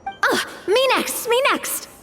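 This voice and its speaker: A woman's voice: very high voice, animated, takes it slowly, pushed and bright, heavily acted. A woman voicing a confident, playful young lady with an eager, assertive manner in an anime game. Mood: eager, hopeful.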